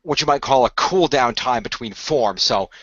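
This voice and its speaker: A man playing a composed, knowledgeable leader of a secret hero team, speaking in measured, formal explanations. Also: mid-range voice, animated, fairly bright tone, dry recording. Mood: earnest, explanatory. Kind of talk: amateur radio play acting. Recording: dry, slightly muffled home recording.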